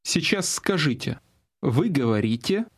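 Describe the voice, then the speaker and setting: Male course narrator, fairly high and slightly rough voice, instructional delivery for audio lessons. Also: big pitch swings, unhurried.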